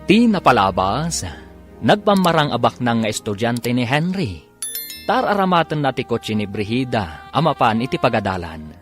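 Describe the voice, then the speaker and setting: Animated Filipino male drama narrator. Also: medium pitch, moderate pace. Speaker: radio drama narrator and announcer. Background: faint music.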